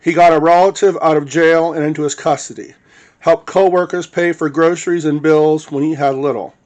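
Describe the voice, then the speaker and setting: A man with a fairly high-pitched voice reads a written witness statement aloud. He acts as the narrator, delivering prepared text. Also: fairly even intonation, a little fast, darkish tone.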